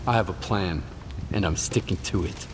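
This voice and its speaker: Guy voicing a stubborn reserved game soldier, lowish and terse, noisy background. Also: moderate pace, lightly acted. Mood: guarded, firm. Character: guarded, stubborn male soldier, independent-minded. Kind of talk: dramatic video game cutscene acting.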